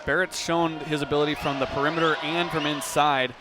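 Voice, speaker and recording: A man's voice: on the high side, steady tone, a little fast. A man working as a radio color commentator, analysing basketball in a conversational, explanatory way. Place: indoor basketball arena. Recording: very loud crowd and arena noise. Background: loud crowd, bouncing ball.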